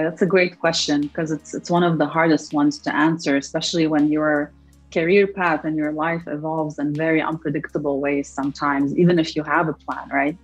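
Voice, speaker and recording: A woman's voice: sits low, husky, highs cut off. A young woman from Lebanon, an entrepreneur answering interview questions in an earnest, reflective way, often repeating words as she searches for them. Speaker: entrepreneur guest.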